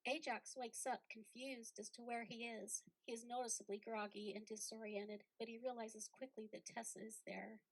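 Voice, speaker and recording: A woman's voice: fairly high pitch, level tone, normal speed, few pauses, low-quality recording. A woman narrating a play, reading the stage directions as scripted narration.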